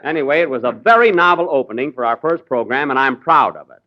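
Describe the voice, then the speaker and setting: A man's voice: medium pitch, lively intonation, quick pace, noisy background. A man hosting a 1940s radio comedy show, with wry, indignant comic timing. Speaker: comedian host. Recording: dull old radio broadcast recording. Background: studio audience laughter.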